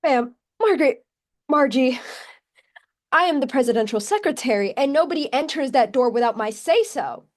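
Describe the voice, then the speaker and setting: A woman playing a flustered, eager-to-please presidential secretary, nervous, halting and earnest, in a comedy play table read. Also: high voice, animated, darkish tone. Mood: earnestly assertive.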